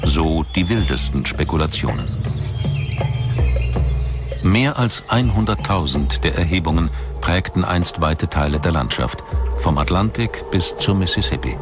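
Male documentary narrator, low register, lively melodic delivery of scripted text, music underneath. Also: a little slow. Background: background music.

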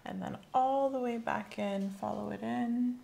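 Soft-spoken woman with creaky voice, ASMR exam. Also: a little high, fairly even intonation. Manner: gentle, measured, clinical, often dropping to a whisper. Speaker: ASMR roleplayer acting as medical examiner. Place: small indoor room set up as an exam office. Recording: faint background noise.

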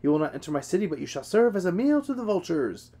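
A man doing a gloating high-pitched warrior. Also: brisk, dark tone, lightly acted. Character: boastful triumphant male warrior.

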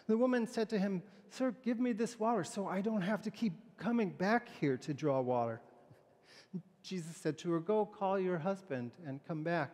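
High-pitched pastor in an echoing church. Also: male voice, lively intonation, moderate pace, darkish tone. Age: middle-aged. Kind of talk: sermon with poem and scripture readings, conversational. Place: large church sanctuary, indoors, at a pulpit. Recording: echoey church hall, long reverb.

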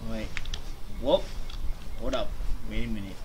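A young man giving casual, low-key gameplay commentary, half muttering to himself as he streams. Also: moderate pace, background noise. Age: young adult. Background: game music.

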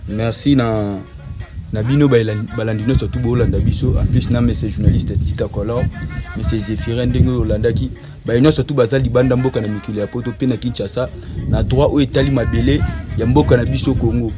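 A man's voice: medium pitch, steady tone, a little fast, over music. A man speaking earnestly outdoors, thanking viewers and giving advice about land. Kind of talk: interview statement.

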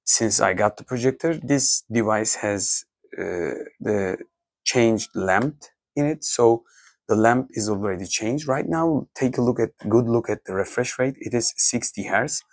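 A man, a tech reviewer giving an off-the-cuff walkthrough of projector menus in a halting way, with many restarts. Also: mid-range voice, no echo, clean studio sound. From Turkey. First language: Turkish.

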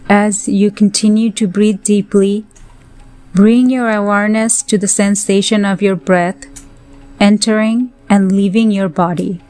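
A woman leading a scripted guided meditation in a gentle, soothing, measured way with pauses. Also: medium pitch, steady tone. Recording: voice over background music. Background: music.

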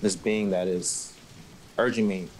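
An actor playing a tormented musician haunted by a sinister being, brooding and halting in 1940s radio horror style. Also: male voice, low-pitched, fairly even intonation, noisy background. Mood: anguished, brooding.

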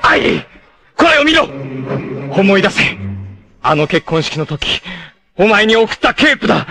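An actor playing a fierce, proud martial artist in an anime, with an intense, theatrical delivery. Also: male voice, sits high, sing-song, vocal fry, crowd noise, heavily acted. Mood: anguished. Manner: intense, theatrical, from cool measured speech to anguished shouts. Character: fierce, proud martial artist hunting his sister's abductor. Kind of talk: dramatic anime acting.

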